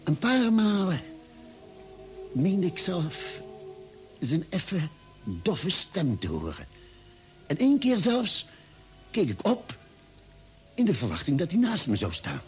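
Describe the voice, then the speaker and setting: An actor playing a thoughtful male ship's doctor in an old radio play, speaking deliberately with pauses. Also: high voice, huge pitch swings, a little mellow, faint music behind, full character voice. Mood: brooding, haunted. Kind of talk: measured, dramatic radio play narration and dialogue.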